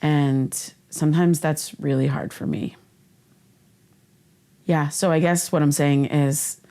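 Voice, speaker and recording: A woman's voice: sits low, dark tone. An actress playing an earnest, vulnerable young woman who confides in podcast listeners in a casual, hesitant, candid way. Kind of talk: scripted podcast narration, confessional.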